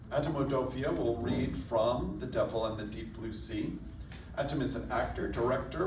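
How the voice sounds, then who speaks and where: A man's voice: medium pitch, recorded from a distance. Echoey man introducing guests on stage. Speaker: event host introducing reader and author. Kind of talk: scripted live introduction, read aloud. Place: indoor stage before a live audience. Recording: echoey hall from off, clear background noise.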